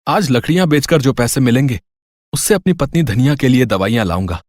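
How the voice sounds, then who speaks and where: A man's voice: mid-range voice, animated, a little fast, darkish tone, close to an everyday voice. A man voicing a poor, earnest woodcutter in a Hindi moral story, speaking with wide-eyed expression. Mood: weary, resigned. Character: poor, earnest, kindly young woodcutter husband. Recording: music behind the voice.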